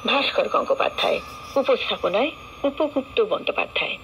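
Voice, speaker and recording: A woman with a West Bengal accent reads a scripted programme schedule as a radio announcer, in a formal, measured way, over a shortwave broadcast. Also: mid-range voice, a bit bright, slight background noise, poor audio.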